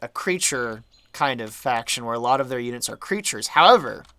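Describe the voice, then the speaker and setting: A man's voice: medium pitch, lively intonation, a little fast, sharp tone, no room sound. A young man with a slight sore throat, giving a chatty, rambling update about his game projects. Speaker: game modder and channel host. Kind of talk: casual development update talk.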